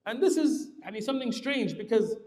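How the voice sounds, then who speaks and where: A man preaching a sermon, telling a story with lively explanatory asides. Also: fairly quick. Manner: animated, lively pitch swings, explanatory asides. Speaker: Islamic preacher. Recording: roomy hall reverb.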